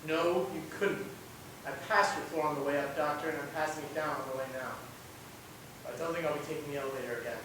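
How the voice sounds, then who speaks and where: High-voiced young male, acting as embittered troubled genius, earnest stage drama, distant mic. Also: fast, close to an everyday voice. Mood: somber. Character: anguished, bitter man made a genius by experiment. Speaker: student actor. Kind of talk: amateur stage play acting. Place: indoor theatre run-through without audience. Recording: echoey hall, distant mic, rumbling noise.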